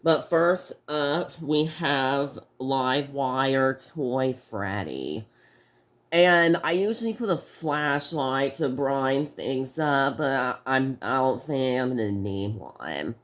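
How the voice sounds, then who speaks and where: Slow-talking male reviewer, sick and slightly off-sounding, rambling and halting. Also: medium pitch, expressive, a bit bright. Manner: halting, rambling, frequent restarts. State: sick, voice a little off. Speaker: toy review host. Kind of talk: casual video review.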